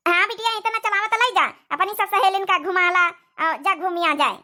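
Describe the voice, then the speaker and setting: A woman's voice: very high-pitched, steady tone, moderate pace, bright, forceful tone. A woman voicing a doting, practical village mother in a cartoon comedy, speaking coaxingly with an Awadhi accent. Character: doting middle-aged widowed village mother, practical, hospitable.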